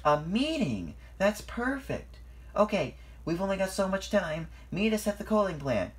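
An eager young male steam engine from a storybook, acted out by a narrator. He is high-pitched and excitable, exclaiming earnestly with big swings in pitch. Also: normal speed, natural voice. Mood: excited, urgent.

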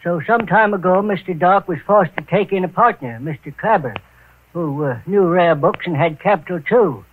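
An actor playing an anxious, deferential elderly bookkeeper with hesitant, stammering speech in a 1940s radio drama. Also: male voice, high voice, normal speed, slight background noise, natural voice. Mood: timid, explanatory. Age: older. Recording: tinny, muffled old radio recording.

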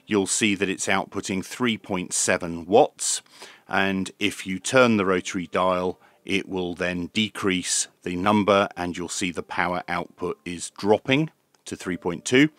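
A man with a fairly low voice presents a hands-on product demonstration. He explains each step methodically in an informal reviewer's style. The sound is dry, with very little room echo, as if recorded in a small room at a desk. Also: measured pace, fairly bright tone. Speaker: radio reviewer, channel host.

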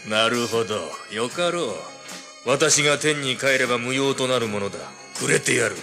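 An actor playing a haughty, centuries-old alien villain with a condescending, formal, menacing manner in an audio drama. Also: male voice, mid-range voice, sing-song, slow pace. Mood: scornful. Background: prominent dramatic music.

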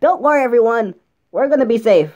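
A man's voice: very high-pitched, expressive, brisk, a little mellow, a little hiss or hum. A young man doing a homemade toy puppet show, switching between many silly put-on voices. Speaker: toy video creator voicing many characters. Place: home room with toys on the floor. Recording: dull lo-fi home recording.

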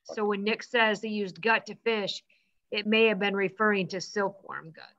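Female book-club participant, bright and forward voice, somewhat dull video-call audio. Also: medium pitch, steady tone, normal speed. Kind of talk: discussion remarks and reading aloud.

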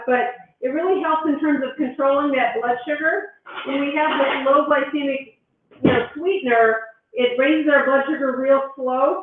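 A woman's voice: sits high, a little hiss or hum. A woman cooking in her home kitchen on a video call, chatting and explaining each step as she goes. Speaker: guest doctor demonstrating a recipe. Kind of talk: cooking demo on a live stream. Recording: tinny echoey video-call audio.

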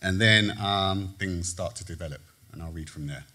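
A man reading aloud from his own short story to a live audience on a theatre stage, measured and trailing low at the ends of phrases. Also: sharp tone. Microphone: lectern mic, far camera.

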